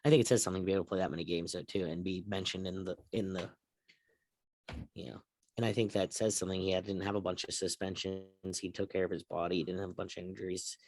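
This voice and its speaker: A man's voice: sits low, fairly even intonation, quick pace, a little mellow. A man co-hosting a casual sports talk show, recapping news and putting questions to the others.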